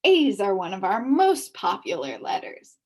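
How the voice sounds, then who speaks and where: A woman's voice: mid-range voice, sing-song, unhurried, a little mellow. A woman reading a storybook aloud and voicing a friendly male letter seller who chats and confides with customers. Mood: confiding. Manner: confiding, chatty salesman.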